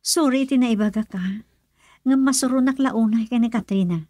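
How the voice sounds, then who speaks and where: An actress playing a devoted young friend who consoles and urges, in an Ilocano Filipino radio drama. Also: female voice, a little high, moderate pace, mellow, dry recording, clean studio sound, close to an everyday voice. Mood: urging, scolding.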